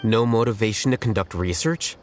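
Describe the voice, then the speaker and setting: A man's voice: fairly low pitch, fairly quick, faint music behind, natural voice. An actor playing a reserved, blunt male scholar in authority in a video game, terse and composed, with a probing manner.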